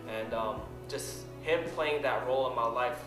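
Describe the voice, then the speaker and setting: A man's voice: mid-range voice, normal speed. A young man from the Philippines tells his faith story earnestly, stumbling and repeating words now and then. The sound is roomy. Music runs beneath his voice. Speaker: church member telling his story. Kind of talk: personal testimony.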